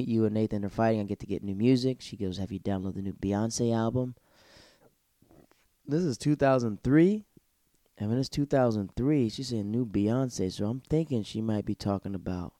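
A young man hosting a solo podcast, chatting casually and rambling off topic with sudden excited outbursts. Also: no room sound. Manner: rambling, easily sidetracked, bursts of excited high-pitched outbursts. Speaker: solo podcast host recapping a TV show.

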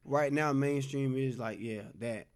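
A man's voice: mid-range voice, measured pace, crowd noise. A man in his twenties, co-hosting a casual group chat and insisting on finishing his point. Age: 20s. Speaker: podcast co-host.

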